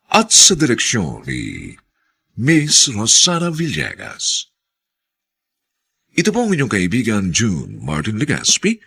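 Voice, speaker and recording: A man narrating a radio drama as its announcer, with solemn, theatrical, drawn-out emphasis. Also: fairly low pitch, creaky, fairly bright tone, slightly rough.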